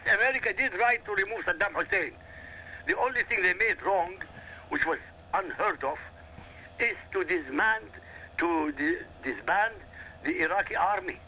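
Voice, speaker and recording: Arabic-native man from Iraq, radio guest, forceful lecturing delivery, bright tense tone, lively pitch. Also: slight background noise, rough recording. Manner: forceful, emphatic, repetitive lecturing. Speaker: guest commentator. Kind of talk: heated radio talk.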